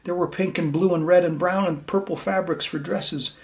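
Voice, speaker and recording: A man's voice: sits high, steady tone, moderate pace, vocal fry. A man reading a children's story aloud and talking to young listeners in a simple, explanatory way. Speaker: teacher reading a picture book aloud to children.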